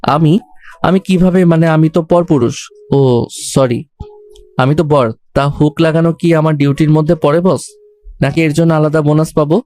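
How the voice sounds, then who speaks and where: Man acting a cheeky romantic young groom, higher-pitched. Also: fairly even intonation, moderate pace, pauses often, warm tone, natural voice. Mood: flustered, joking.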